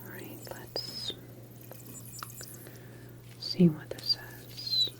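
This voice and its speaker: A woman playing a calm, reassuring government doctor in a sci-fi ASMR roleplay, explaining things gently in a soft whisper. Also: very slow. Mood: calm, measured.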